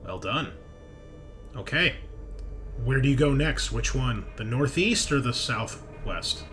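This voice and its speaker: A man with a medium pitch acts as game master for a tabletop roleplaying session. He talks casually and haltingly, working things out aloud as he narrates, with music clearly playing behind him. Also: animated.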